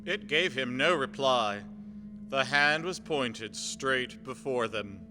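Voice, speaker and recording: A man's voice: medium pitch, lively intonation, slow, over music. A man reading scripted narration as a narrator in a radio play. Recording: reverberant hall.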